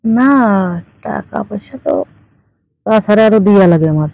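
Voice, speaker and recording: A woman's voice: medium pitch, animated, moderate pace, vocal fry, warm tone, dry recording. A woman with a Bangladeshi accent playing a teasing, demanding older aunt in an improvised phone-call drama. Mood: needling, matter-of-fact. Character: teasing, demanding older aunt who owns a fish farm.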